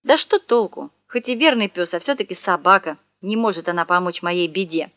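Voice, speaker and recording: A woman's voice: fairly low pitch, brisk, fairly bright tone, no room sound, close to an everyday voice. A woman voicing a sly young male swindler in a storybook reading, whining and melodramatic, heard on a dull, dry recording. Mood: wailing. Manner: wailing, wheedling, melodramatic.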